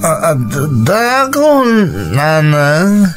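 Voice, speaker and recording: Bitter boozing father, slow drawl, higher-pitched male voice, stubborn, grumbling, radio drama. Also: sing-song, over loud music, exaggerated voice. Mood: drunk, bitter. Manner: slow, grumbling, groaning drunkard. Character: stubborn hard-drinking father, bitter and defensive.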